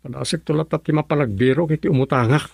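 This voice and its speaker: An actor playing an easygoing, broke husband who works construction, in a radio drama. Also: male voice, a little high, quick pace, vocal fry, darkish tone, dry recording, clean studio sound.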